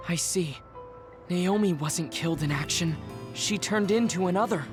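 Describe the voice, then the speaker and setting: A woman's voice: on the low side, moderate pace, over music, lightly acted. An actress playing a composed, analytical young female soldier in a video game, speaking in a terse, level-headed way. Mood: cool, serious.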